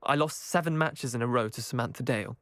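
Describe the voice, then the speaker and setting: A man's voice: medium pitch, quick pace, a bit bright, dry recording, natural voice. A man playing a cocky young wizard student. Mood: grudging. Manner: boastful, then grudging. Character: young male student, cocky then gracious loser. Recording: game cutscene with music behind. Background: music.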